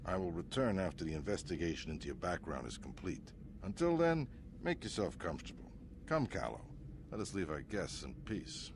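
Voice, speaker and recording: An actor playing a smug, imperious crime boss in a video game, with a pompous, suavely threatening manner. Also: male voice, low voice, sing-song, fairly quick, background noise. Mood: gracious menace. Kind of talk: dramatic video game acting.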